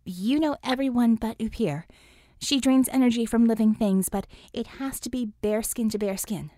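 A woman acting a brisk, urgent superhero dispatcher woman. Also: fairly high pitch, dark tone, no room sound, clean studio sound, lightly acted. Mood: formal.

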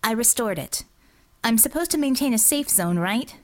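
A woman's voice: on the high side, animated, normal speed, close to an everyday voice. A woman voicing a defiant, sarcastic young female healer in an audiobook, arguing in a flippant, clipped way. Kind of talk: audiobook character dialogue.

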